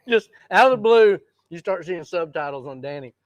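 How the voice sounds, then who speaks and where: A man's voice: high voice, very animated, unhurried, dry recording, highs cut off. A man, explaining recipes in an easygoing cooking chat. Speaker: cooking show host. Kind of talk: casual cooking demonstration.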